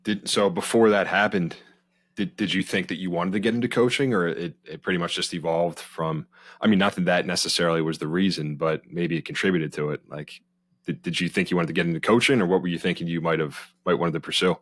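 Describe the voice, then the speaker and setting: A man's voice: low voice, fairly even intonation, fast, a bit bright, dry recording. A man hosting a casual interview, asking rambling questions and laughing easily. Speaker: podcast host.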